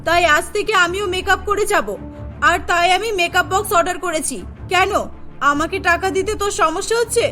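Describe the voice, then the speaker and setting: Woman acting as middle-aged cartoon mother-in-law, very high-pitched, sharp bright tone, bossy, self-justifying, theatrical. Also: fairly quick. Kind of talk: dramatised cartoon story dialogue. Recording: voice over prominent background music.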